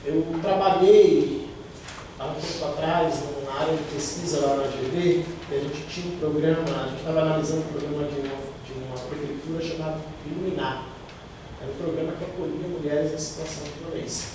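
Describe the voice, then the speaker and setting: A man's voice: on the high side, fairly even intonation, brisk. A man answering students' questions, explaining at length and often checking in with tag questions. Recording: echoey room, mic far off, faint noise.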